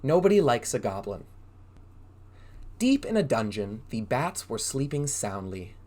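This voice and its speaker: A man reading a storybook aloud as a preschool drama teacher, in an exaggerated, animated way, prompting young listeners with questions. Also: medium pitch, measured pace. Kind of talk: interactive children's read-aloud.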